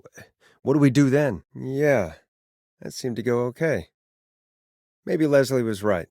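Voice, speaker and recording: Wry, cynical radio host, dry studio sound. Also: male voice, medium pitch, animated, measured pace, darkish tone, dry recording. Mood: skeptical, dry. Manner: sardonic, hesitant stammering under pressure. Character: wry, cynical male late-night radio talk show host, rattled. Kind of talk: video game voice acting, conversational radio patter.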